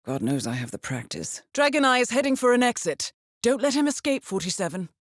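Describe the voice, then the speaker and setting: An actress with a British accent playing a composed, dryly wry intelligence handler briefing an assassin in a video game, poised and measured. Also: female voice, on the high side, sing-song, no echo. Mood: reflective. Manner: poised, measured, dry understatement.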